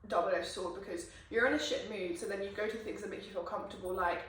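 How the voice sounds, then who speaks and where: A young woman talks quickly through a casual podcast chat and drops swear words without effort. She sits far from the mic in a very echoey room, and her words run together fast. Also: medium pitch, fairly even intonation, a bit bright, slight background noise. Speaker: podcast co-host.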